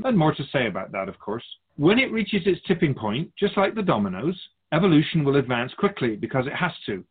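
Man playing a husky, lilting otherworldly sage spirit. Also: medium pitch. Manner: musing, formal, gently wry, philosophical. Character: Keeper of Souls Purpose, wise curious otherworldly being. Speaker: spirit guide being interviewed. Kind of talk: radio drama dialogue. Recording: muffled phone-like narrow band.

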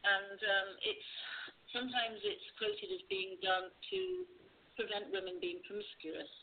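A woman's voice: mid-range voice, big pitch swings, a little slow, gravelly. A woman guest from a charity, answering interview questions earnestly over a call. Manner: earnest, explanatory. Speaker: guest, charity communications manager. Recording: tinny phone line with faint hiss.

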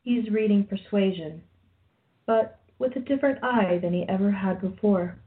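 A woman's voice: mid-range voice, moderate pace. Tinny-sounding woman narrator. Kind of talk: scripted radio play narration reading stage directions. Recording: tinny phone-like audio.

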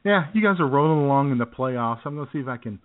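A man's voice: medium pitch, lively intonation, fast. A man co-hosting a podcast, chatting casually and telling rambling jokey stories. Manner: joking, rambling storyteller. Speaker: podcast co-host. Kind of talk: casual comedic chat. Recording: tinny phone-like sound.

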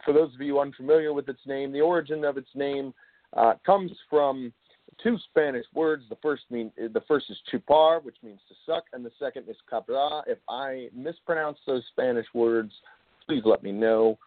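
Male host, native English speaker, halting informal explainer, phone-like and roomy sound. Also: medium pitch. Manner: halting, frequent filler pauses. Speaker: show host. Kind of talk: informal solo explainer monologue. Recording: roomy, phone-like tinny line.

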